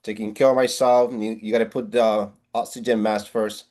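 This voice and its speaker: A man in his forties with a Puerto Rican Spanish accent and a fairly low voice. He speaks earnestly and motivationally, restarting phrases as he goes. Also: little pitch movement, normal speed, darkish tone. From Puerto Rico. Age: 40s. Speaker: real estate agent guest. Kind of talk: interview on video call.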